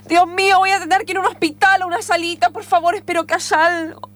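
Woman acting a high-voiced, dramatic young Argentine traveller. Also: little pitch movement, fast, fairly bright tone. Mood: dejected. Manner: emotional, hesitant, plaintive. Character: dreamy, naive, dramatic young woman cyclist setting off travelling. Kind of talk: improvised radio theatre.

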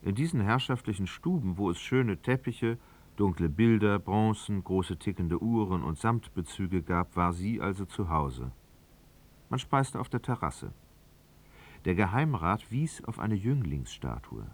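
A man narrating a literary radio drama in a measured, articulate storytelling manner. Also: deep voice, animated.

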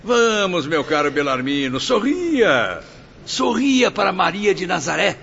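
A man's voice: high-pitched, very animated, measured pace, creaky, fairly bright tone, exaggerated voice. An actor playing an ironic, courtly nobleman, a spirit patient in a radio drama, with theatrical, teasing delivery. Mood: fervent. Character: ironic, fervent nobleman, repentant spirit patient in afterlife hospital. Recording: muffled lo-fi radio drama, background noise.

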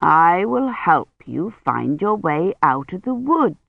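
A woman voicing a kindly male bear from a fairy tale, speaking in a measured, grave and gentle way. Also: sing-song, creaky, heavily acted. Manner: slow, measured. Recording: roomy, muffled low-fidelity audiobook.

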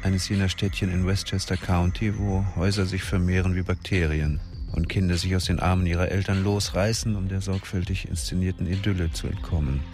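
An actor narrating a crime radio drama as a sombre storyteller, in a measured, literary manner. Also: male voice, sits low, flat delivery, darkish tone, faint music behind. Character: sombre storyteller following a detective. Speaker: third-person narrator.

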